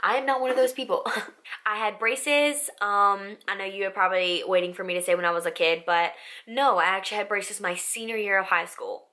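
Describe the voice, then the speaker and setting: A young woman with a raspy voice, chatting casually to camera in a vlog, full of "like" and "um". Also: mid-range voice, fairly even intonation, fairly quick, bright, forceful tone. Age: young adult. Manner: chatty, filler-heavy with frequent "like" and "um". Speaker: vlogger. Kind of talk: casual facts-about-me vlog.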